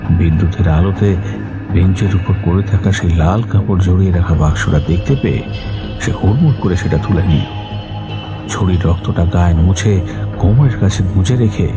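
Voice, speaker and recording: A man playing a menacing, taunting stranger in an audio horror story, speaking in an ominous manner. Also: deep voice. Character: menacing male stranger telling a grim tale on a train. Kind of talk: dramatic horror story narration.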